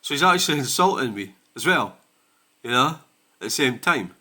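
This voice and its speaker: A man's voice: medium pitch, animated, normal speed, pushed and bright. A Scottish man hosting his own channel, talking in a blunt, sweary and rambling way in a casual rant. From Scotland. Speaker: channel host.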